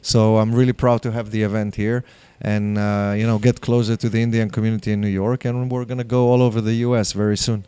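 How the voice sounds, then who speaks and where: A man with an Israeli accent talks about his project in a steady, earnest way, often pausing on fillers. His voice stays flat and mellow, smooth and clear. The sound is dry, with no room around it. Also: on the low side, no room sound. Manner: measured, earnest, hesitant with fillers. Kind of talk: speaking to camera at an event.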